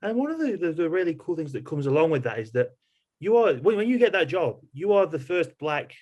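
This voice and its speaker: Higher-voiced man hosting an interview. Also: very animated, brisk, a little mellow. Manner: conversational, rephrases questions as he goes. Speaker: podcast host, interviewer. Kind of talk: casual interview questioning.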